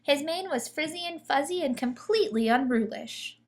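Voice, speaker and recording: Fairly high-voiced woman reading a storybook. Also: lively intonation, moderate pace. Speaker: picture book reader. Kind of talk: children's story read aloud.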